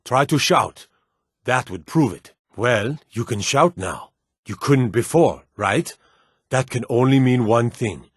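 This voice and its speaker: A man's voice: mid-range voice, expressive, slow pace, slightly rough, no echo, clean studio sound. A man playing an earnest, superstitious Nord town guard and soldier in a fantasy video game, speaking in a plain, hardy way. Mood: awed.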